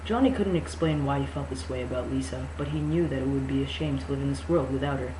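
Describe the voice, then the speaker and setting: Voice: mid-range voice, quick pace. An amateur reader giving a mock-dramatic novel reading, breaking off into sarcastic, incredulous, sweary asides. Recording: echoey room with faint noise.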